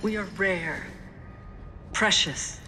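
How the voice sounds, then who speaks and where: An actress playing a calm, enigmatic middle-aged woman, a survivor and mentor in a video game, speaking cryptically and soothingly. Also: medium pitch, drawn-out words. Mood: solemn reassurance. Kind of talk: video game cutscene acting. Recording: loud game noise behind.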